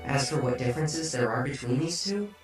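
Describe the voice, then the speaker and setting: A calm, polite young man who has become a glowing spirit, played by a man, speaking in a mid-range voice with an easy, lightly teasing smoothness. Clear music plays behind him in this fan-made audiobook. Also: medium pitch, fairly quick, lightly acted.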